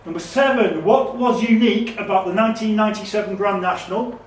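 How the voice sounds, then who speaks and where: A man's voice: high voice, fast talker. A man acting as quizmaster, reading quiz questions aloud. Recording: echoey room, distant mic, faint noise.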